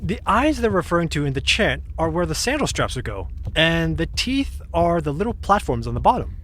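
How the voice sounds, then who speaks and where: A woman's voice: low voice, very animated, fairly quick, fairly bright tone, exaggerated voice. A woman playing an easygoing, slightly sheepish young woman in an audio drama, explaining spirit lore in a matter-of-fact, teasing way.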